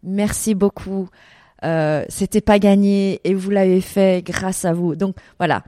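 A woman's voice: medium pitch, fairly even intonation, measured pace, mellow, dry recording, clean studio sound. A middle-aged woman from Vietnam answering interview questions about her events business, hesitating often with fillers and restarts. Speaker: desert events business owner.

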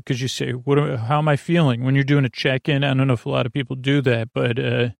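Man, heavy vocal fry, dry recording, casual podcast host, meandering, stop-start delivery. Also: mid-range voice, no room sound. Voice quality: creaky. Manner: meandering, halting, trailing off mid-thought and circling back. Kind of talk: rambling casual monologue.

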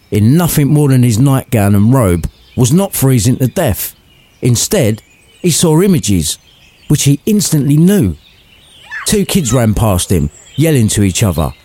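A man's voice: medium pitch, mellow. A man narrating a scripted story in an irreverent way, with cheeky, sweary asides to the listener.

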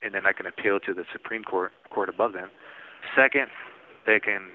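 A man in his forties talking casually from prison over the phone, halting and often restarting his words. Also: sits low. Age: 40s. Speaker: interviewee in prison. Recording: tinny prison phone line.

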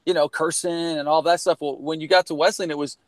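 A man's voice: fairly high pitch, steady tone, fairly quick, no room sound. Earnest, rambling man from Connecticut. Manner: earnest, rambling, frequent restarts and "you know". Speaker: guest, former college baseball player. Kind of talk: candid storytelling in a podcast conversation.

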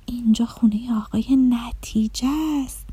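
A woman voicing a gentle, kindly dream fairy in a children's story, in a soft, coaxing manner. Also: on the high side, level tone, slightly rough, dry recording. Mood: inviting.

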